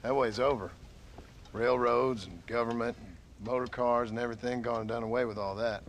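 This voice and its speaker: An actor playing a gruff, dry-spoken reformed outlaw rancher and father with an American Western accent in a video game. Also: medium pitch, fairly even intonation, moderate pace, slight background noise, lightly acted. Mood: reflective. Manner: dry, terse. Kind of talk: video game cutscene acting.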